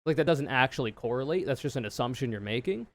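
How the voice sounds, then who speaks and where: A man with a low voice giving casual, opinionated reaction commentary, swearing freely and explaining in loose run-on sentences. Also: animated, fast talker. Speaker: streamer reacting to a video.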